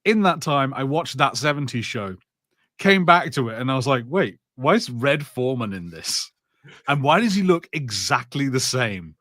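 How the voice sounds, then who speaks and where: A man's voice: mid-range voice, very animated, moderate pace, a bit bright, no room sound, studio quality. A man co-hosting a podcast in casual film chat, joking and swearing freely. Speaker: podcast co-host. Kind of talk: casual watch-party chat about films.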